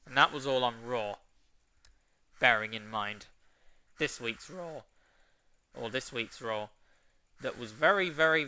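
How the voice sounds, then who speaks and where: A man giving a casual review, unhurried and trailing off into fillers as he weighs his opinions. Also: medium pitch, pushed and bright, clear, resonant tone, no room sound, low-quality recording. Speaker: wrestling show reviewer.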